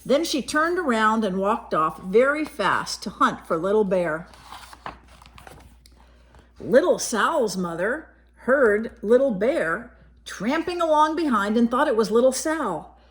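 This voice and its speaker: A woman reading a picture book aloud as a storyteller for young children, expressively, pausing to explain pictures and ask questions. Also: a little high, very animated.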